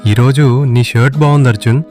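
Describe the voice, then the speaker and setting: A man's voice: mid-range voice, mellow, natural voice. A man playing a jovial, food-loving young buddy in a cartoon story.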